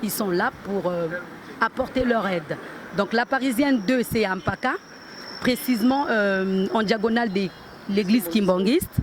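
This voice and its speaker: A woman's voice: medium pitch, measured pace, background noise. A woman from Congo with a Congolese French accent, a shop owner answering interview questions hesitantly, with many fillers. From Republic of the Congo.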